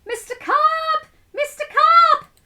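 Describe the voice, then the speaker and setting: A woman's voice: very high voice, takes it slowly, pushed and bright, pitched above the performer's own voice, heavily acted. A woman voicing an anxious young boy in a storybook, with a dramatic, pleading delivery. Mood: alarmed calling. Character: anxious young boy worried about his pet fish.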